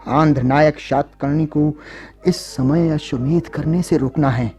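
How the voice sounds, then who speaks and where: A man playing a grave, resolute noble statesman, speaking with measured solemnity in a radio drama. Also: on the high side, fairly even intonation, creaky, mellow, faint music behind. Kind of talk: Hindi radio drama acting.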